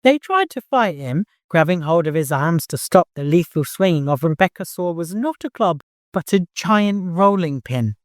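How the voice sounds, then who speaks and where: A man narrating fiction as an audiobook, in an expressive, dramatic storytelling manner. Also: on the high side, normal speed, dry recording, studio quality.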